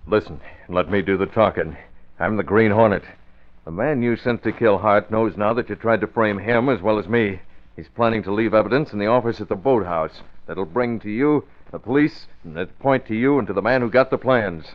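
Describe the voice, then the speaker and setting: An actor playing a resolute, terse and calculating crime fighter in a vintage radio drama. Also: male voice, lowish voice, brisk, natural voice. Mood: terse, menacing. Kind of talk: 1940s-50s radio drama acting. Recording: muffled, noisy vintage radio recording.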